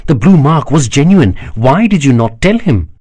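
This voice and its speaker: A man voicing an impatient, forceful female prime minister who presses her point urgently. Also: mid-range voice, expressive, normal speed, no echo, voice pushed up, close to an everyday voice. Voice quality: urgent, insistent.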